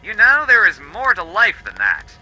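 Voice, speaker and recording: A man playing an excitable, exasperated member of a recon team in a dramatised audiobook. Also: high-pitched, moderate pace, heavily acted, poor audio. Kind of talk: dramatised audiobook radio dialogue.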